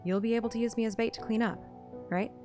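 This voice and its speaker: A woman's voice: a little high, steady tone, fast, voice pushed up, close to an everyday voice. A woman voicing a determined, guarded young heroine who bluffs confidence in romantic fantasy audiobook dialogue. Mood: bargaining, bluffing. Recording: music behind the voice.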